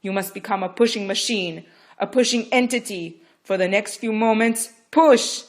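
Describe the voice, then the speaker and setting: A woman's voice: medium pitch, normal speed, voice pushed up, lightly acted. A woman voicing a stern, urgent female midwife who barks firm orders during a birth. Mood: commanding.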